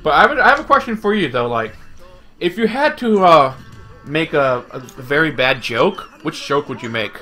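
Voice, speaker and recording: A young man casting esports, chatting and teasing his co-casters in quick banter over a headset. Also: a little high, lively intonation, pushed and bright, soft background music. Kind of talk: casual chat between casters.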